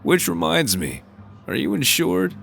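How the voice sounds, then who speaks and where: A man's voice: a little high, huge pitch swings, a little slow, gravelly, fairly bright tone, faint music behind, full character voice. A man playing a jovial, blunt middle-aged insurance salesman and family man in a radio drama, talking in a joking, folksy way.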